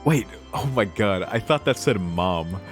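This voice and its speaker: A very low-voiced man streams a visual novel, switching between reading the text and informal reactions. He swears freely and sounds invested in the story, with faint music behind him. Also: expressive, measured pace. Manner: foul-mouthed, informal, emotionally invested. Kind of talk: casual let's play commentary mixed with reading game text aloud.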